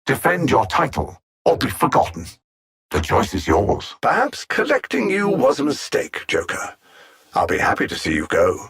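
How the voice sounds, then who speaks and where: An actor playing an arrogant alien supervillain in a video game, coldly menacing and theatrical, with haughty, condescending, precisely worded speech. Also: male voice, on the low side, huge pitch swings, normal speed, a bit bright, slightly rough, heavily acted. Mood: cold menace, then mocking. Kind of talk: scripted video game villain lines.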